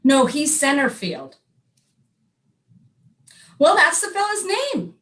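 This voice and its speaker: Unflappable comic baseball manager, played by a woman, high voice, lively swings, emphatic matter-of-fact delivery for a readers theater stage. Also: slow pace, heavily acted. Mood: insistent. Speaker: amateur readers theater performer. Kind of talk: staged comic dialogue reading.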